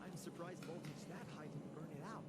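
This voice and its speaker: A man's voice: a little high, steady tone, brisk, very noisy, lightly acted. A young man playing an earnest, admiring inventor in a video game cutscene. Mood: eager. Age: young adult.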